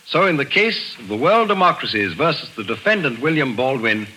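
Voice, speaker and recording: A measured, formal judge. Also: male voice, mid-range voice, very animated, bright, forceful tone, husky, exaggerated voice, poor audio. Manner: formal, measured, courtroom address. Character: formal male judge presiding over a trial. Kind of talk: 1940s radio acting. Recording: old radio broadcast recording.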